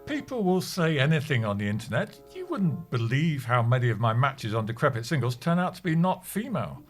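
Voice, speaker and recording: An actor playing an older office colleague, dry, wry and grumbling, in a scripted audio comedy. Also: male voice, medium pitch, sing-song, a little fast, soft background music, natural voice.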